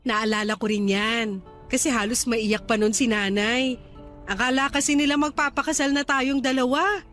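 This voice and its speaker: An actress playing a supportive young Filipina girlfriend in a radio drama, speaking gently and conversationally to reassure her partner. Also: fairly high pitch, fairly even intonation, normal speed, soft background music, close to an everyday voice. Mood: reflective.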